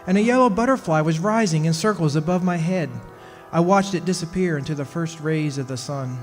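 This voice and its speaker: An actor playing a wry, centuries-old henpecked husband of a witch in a radio play, telling his story in measured dramatic style. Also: a little high, close to an everyday voice. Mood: wry, reminiscing. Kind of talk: dramatic first-person radio play narration. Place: stage performance in a community club hall. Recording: echoey hall, music behind.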